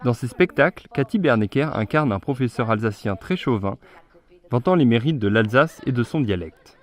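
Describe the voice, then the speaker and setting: Young man, news narration, scripted, steady, mid pitch. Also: normal speed. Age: young adult. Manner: steady read delivery.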